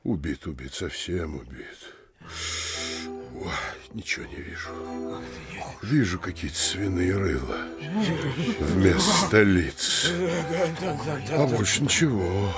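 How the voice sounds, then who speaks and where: An actor playing a blustering, outraged provincial town mayor in a theatrical classic comedy audio drama, exclaiming incredulously. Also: male voice, deep voice, sing-song, slow, long pauses, loud music behind the voice, exaggerated voice. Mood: crushed, despairing.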